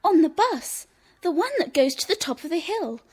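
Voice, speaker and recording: Cheeky, stubborn schoolgirl, high and very lively, teasing, for a children's radio play. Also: normal speed. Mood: boastful. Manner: teasing, smug, emphatic. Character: cheeky, stubborn young girl, smug little sister. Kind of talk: children's audio drama acting.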